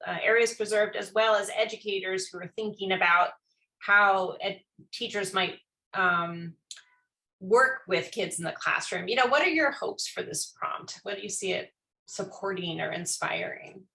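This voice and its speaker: Lady host chatting, lots of ums and restarts, bright clear voice. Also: mid-range voice, moderate pace, poor audio. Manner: rambling, many fillers and restarts. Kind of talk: casual online panel chat.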